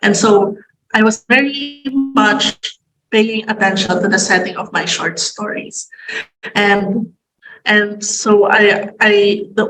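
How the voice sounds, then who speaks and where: A young Filipino woman, a native Tagalog speaker with a Filipino accent, talking in a halting way with many fillers and restarts over a video call. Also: mid-range voice, a bit bright, reverberant, rough recording. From Philippines. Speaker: guest writer. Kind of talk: casual conversation. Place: room at home.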